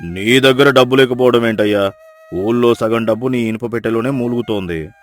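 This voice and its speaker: A man voicing a stern, exasperated village accountant in a moral story, scolding loudly. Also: mid-range voice, fairly quick, soft background music, natural voice. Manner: scolding, loud.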